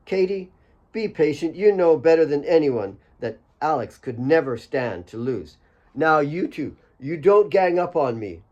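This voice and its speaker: A man voicing a motherly older woman who scolds gently and directly in an audiobook. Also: a little high, big pitch swings, unhurried, dull audio, voice pushed up. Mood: chiding.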